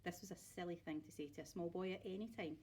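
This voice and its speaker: A woman's voice: fairly low pitch, steady tone, fast talker, darkish tone, husky. A woman narrating a children's story aloud expressively, like a teacher reading to pupils.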